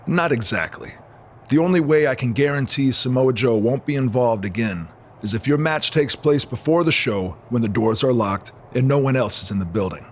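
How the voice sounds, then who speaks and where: An actor playing a stern, scheming wrestling general manager in a video game, dry and matter-of-fact. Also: male voice, mid-range voice, moderate pace, close to an everyday voice. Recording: faint background noise.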